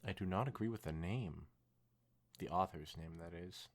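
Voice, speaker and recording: A man's voice: deep voice, normal speed. A man co-hosting a casual podcast, reading a story aloud and making dry, teasing remarks. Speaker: podcast co-host.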